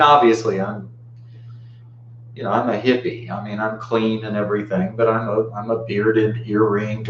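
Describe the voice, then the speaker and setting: An American man of fifty-nine giving an informal dharma talk in a reflective, halting way with long pauses. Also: on the low side. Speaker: Buddhist teacher giving a talk. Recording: muffled, roomy, faint background hum.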